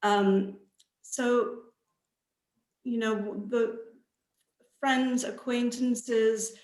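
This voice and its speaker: A woman talks very slowly, pausing and searching for words. She sounds like a casual panelist, recorded in a clearly echoey room. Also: medium pitch, a little mellow. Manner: hesitant, halting. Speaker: debut author, panelist. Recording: roomy echo.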